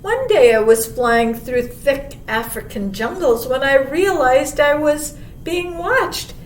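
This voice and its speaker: A woman voicing a gentle, wise old male monarch butterfly in a storybook, telling a child of travels with unhurried wonder. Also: expressive. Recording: roomy, distant mic, faint rumbling noise.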